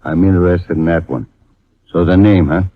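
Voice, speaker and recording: Man as burly crooked Santa: pushy tough talk, low pitch, thin vintage radio sound. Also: fairly even intonation, moderate pace, narrow, tinny audio, close to an everyday voice. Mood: menacing. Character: menacing, burly department-store Santa crook, male. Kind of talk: 1950s radio crime drama acting.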